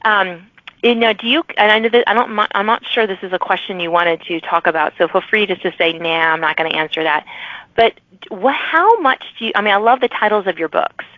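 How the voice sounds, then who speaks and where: A woman's voice: medium pitch, fairly quick, dry recording. A woman hosting a podcast interview, chatting casually and often restarting her sentences. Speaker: podcast host. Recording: dull lo-fi dry recording.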